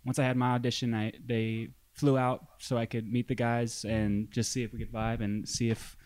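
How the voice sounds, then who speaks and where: Brief: young Texan man, laid-back guest, mid-pitched, dry sound. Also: flat delivery, moderate pace, darkish tone, no echo. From Dallas, Texas. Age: young adult. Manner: easygoing, hesitant with ums. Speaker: boy band singer, guest. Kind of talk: casual interview chat.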